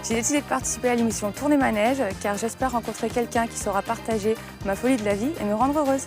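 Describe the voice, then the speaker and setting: A woman's voice: a little high, fast talker, loud music behind the voice. A woman in her twenties introducing herself as a contestant, upbeat. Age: 20s. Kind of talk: self-introduction clip.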